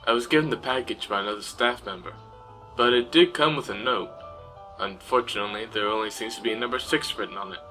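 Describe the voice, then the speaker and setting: Actor as a bright-toned, formal steward. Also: male voice, medium pitch, fast, soft background music, natural voice, rough recording. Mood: deferential. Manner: deferential and formal. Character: polite ship steward.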